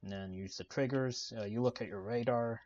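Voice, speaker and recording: A soft-spoken man giving casual, relaxed commentary while playing a video game, with lots of "uh" fillers. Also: medium pitch, normal speed, highs cut off. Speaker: gaming channel host. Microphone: desk microphone.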